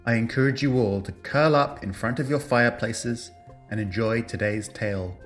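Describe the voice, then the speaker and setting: A man acting as a formal fantasy radio host, speaking in a measured, scripted way straight to the listeners. His voice sits at a middling male pitch, with music playing along behind him. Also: lightly acted. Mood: formal, insistent. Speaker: announcer and host of a fiction broadcast. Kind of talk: scripted in-character radio announcing with sponsor read.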